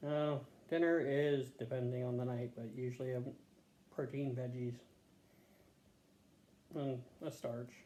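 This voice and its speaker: A man answering health questions as a patient, casual and matter-of-fact, pausing to think in short answers. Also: medium pitch, steady tone, mellow. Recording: echoey room with faint background noise.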